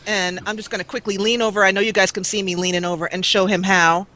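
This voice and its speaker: A young woman YouTuber chatting as an interview guest over a webcam call, talkative and quick to laugh at herself. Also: medium pitch, fairly even intonation, background noise. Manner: chatty, laughs often, self-mocking. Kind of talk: casual interview chat.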